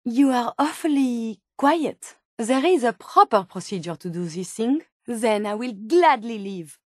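A woman's voice: fairly high pitch, a little mellow, no room sound, studio quality. A woman with a French accent voicing a polite, earnest female robot scientist in a video game, speaking formally and precisely. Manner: formal, precise, courteous phrasing without contractions. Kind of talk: video game character dialogue.

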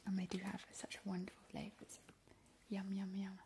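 A woman whispering softly as a playful, sinister vampire hostess in an ASMR roleplay, calling the listener darling. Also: medium pitch, completely flat delivery, background noise, lightly acted. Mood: teasing. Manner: soft unvoiced whisper, slow and teasing. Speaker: ASMR host. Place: indoors at a kitchen table, making a Halloween treat. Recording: close whisper with loud crinkling wrappers and clicks. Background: crinkling packaging and clicks.

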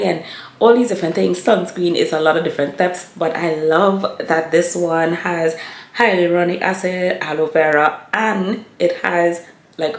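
A woman in her thirties chatting casually to the camera as a lifestyle vlogger, talking straight to her viewers. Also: on the low side. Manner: chatty, addresses viewers as y'all. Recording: echoey room with faint hiss.

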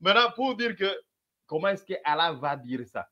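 A man with a high voice that swings widely as he mocks a replayed debate. He talks emphatically, drawing out his words and pushing his listeners to keep following. His delivery is sarcastic and full of teasing asides. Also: very animated, moderate pace, sharp tone, lo-fi sound, slight background noise. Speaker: host commenting on a replayed debate. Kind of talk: mocking commentary over replayed clips.

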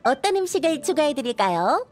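Courteous, high-voiced young tavern waitress. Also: female voice, very animated, brisk, faint music behind. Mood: polite. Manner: courteous, service-like. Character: polite young tavern waitress, gently cheeky. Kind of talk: video game voice acting.

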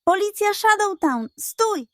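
A woman's voice: extremely high pitch, expressive, slow, no echo, studio quality, pitched above the performer's own voice, full character voice. A woman voicing a tough, determined male police dog commissioner in a children's story, commanding, with muttered growls. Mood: commanding, urgent.

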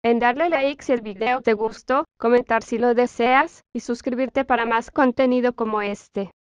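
A woman's voice: on the high side, steady tone, moderate pace, no room sound, lo-fi sound. A woman channel creator giving a scripted video outro.

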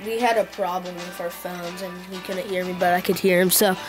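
A woman's voice: fairly low pitch, steady tone, brisk. A woman with a stuffed-up nose hosting casual let's play commentary, hesitant and chatty with lots of ums and self-deprecating groans. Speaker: gaming channel host. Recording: loud game music behind.

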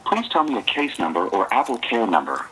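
Lively automated male phone assistant. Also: lowish voice, fairly quick. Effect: phone call through laptop speaker. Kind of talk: scripted customer service prompts. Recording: phone line through laptop speaker, some noise.

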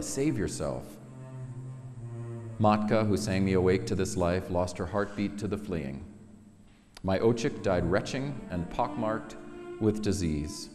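Deep-voiced man solemnly reciting in a reverberant hall. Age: middle-aged. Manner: measured, expressive, with pauses for weight. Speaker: poet reading own work. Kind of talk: solemn dramatic poetry recitation. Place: large synagogue sanctuary, at a lectern.

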